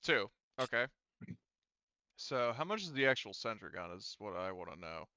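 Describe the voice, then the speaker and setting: A man running an online tabletop game as game master, speaking casually and haltingly as he thinks aloud through sums. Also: fairly low pitch, big pitch swings, pushed and bright, clean tone, no room sound, dull audio. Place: remote online voice call.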